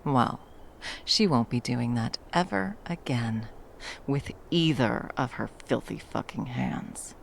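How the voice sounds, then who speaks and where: A woman playing a battle-hardened, fiercely protective monster hunter in an intimate ASMR roleplay, speaking to a lover with dry, tender menace and a gravelly voice. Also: extremely low pitch, sing-song, slight background noise, exaggerated voice. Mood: possessive, protective. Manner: menacing yet tender, slow deliberate storytelling with dry understatement.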